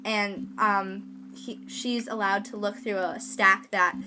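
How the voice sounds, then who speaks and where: A woman co-hosting a fan podcast in casual chat, with tentative, halting phrasing. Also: medium pitch, fairly even intonation, music in the background, low-quality recording. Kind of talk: casual chat about an audio drama.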